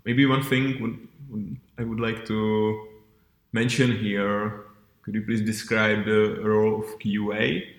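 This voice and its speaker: A male podcast host interviews casually, pausing to search for words. His fairly low voice stays flat in pitch, recorded with noticeable room echo. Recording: roomy, echoey sound.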